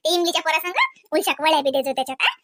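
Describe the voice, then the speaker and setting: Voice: sits high, racing, pushed and bright, slight background noise. A performer voicing chatty, fussy village women in a comedy cartoon, with a rural Varhadi Marathi accent and a nagging, colloquial way of talking full of questioning tags. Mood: bossy, generous. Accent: rural Varhadi Marathi, Vidarbha. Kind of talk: comedy cartoon dialogue in rural household chat.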